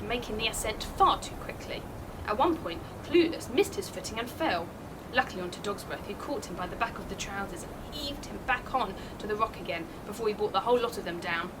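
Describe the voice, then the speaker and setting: A British woman in her thirties, a primary teacher, reading a children's story aloud in an expressive, explanatory way. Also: medium pitch, a little fast, noisy background. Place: small bright indoor room by a window.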